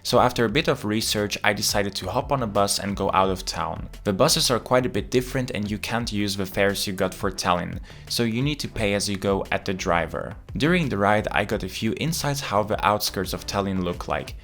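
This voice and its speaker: Low-voiced man narrating a travel vlog. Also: brisk, hardly any pauses, fairly bright tone. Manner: chatty, explanatory, playful asides. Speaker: travel vlogger.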